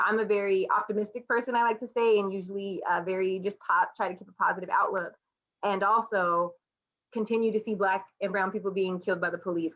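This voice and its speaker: A dull, lo-fi recording carries a woman from the United States with a medium-pitched voice. She speaks as a panelist in a casual, reflective discussion. Her delivery is candid and conversational, with emphatic asides. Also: a bit bright. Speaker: artist, educator and student panelist.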